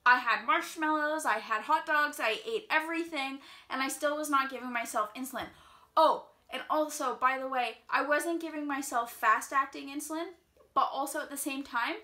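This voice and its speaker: Chatty bright-voiced young woman. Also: high-pitched. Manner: chatty, fast-flowing, heavy use of "like". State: slightly congested. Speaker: vlogger. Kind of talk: casual storytime while doing makeup.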